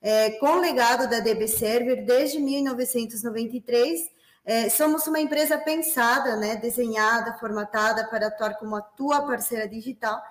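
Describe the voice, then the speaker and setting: A woman's voice: fairly high pitch, moderate pace, reverberant. A woman from Peru with a Spanish accent, hosting a corporate webinar in a friendly way and using many fillers. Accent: Peruvian Spanish. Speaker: webinar host, business development manager.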